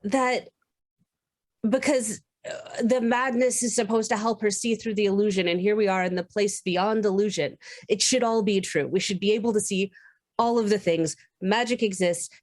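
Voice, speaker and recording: A woman playing a tabletop roleplaying game, explaining her character casually in a halting, thoughtful way. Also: medium pitch, steady tone. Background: music.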